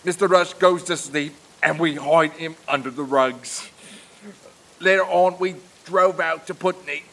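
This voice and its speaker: Man acting rough criminal servant, creaky, tense bright tone, blunt working-class manner, audio theatre. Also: on the high side, measured pace. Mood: defiant confessing. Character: rough servant henchman, defiant accomplice turning informer.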